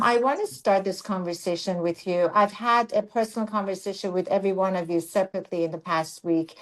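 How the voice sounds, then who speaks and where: Measured woman moderating a panel. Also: medium pitch, poor audio. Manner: measured, deliberate, framing questions. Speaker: webinar moderator. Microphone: video call.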